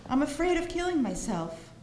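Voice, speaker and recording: An actress playing an anguished, despairing wife in a live stage drama, with a melodramatic, overwrought delivery. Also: female voice, high-pitched, sing-song, moderate pace, a little mellow. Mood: despairing, frightened. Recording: echoey live theater, faint noise.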